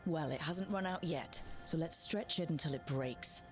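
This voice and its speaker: Actress as direct female party leader, slightly low voice, resolute, game cutscene, music behind. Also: moderate pace, close to an everyday voice. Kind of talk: video game cutscene acting.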